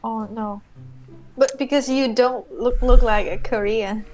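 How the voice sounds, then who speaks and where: A young woman from China chats over an earphone mic. Her voice is fairly high, and her English is halting but warm in intent. Faint music can be heard behind her. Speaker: stranger on a video chat. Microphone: earphone mic on a video call.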